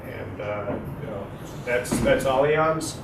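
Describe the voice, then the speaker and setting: A middle-aged man from Germany with a German accent, giving a relaxed, hesitant university guest lecture and quizzing the audience. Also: mid-range voice, slow pace, gravelly, over music. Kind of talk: university talk with audience questions. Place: lecture room. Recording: echoey room, distant, noisy.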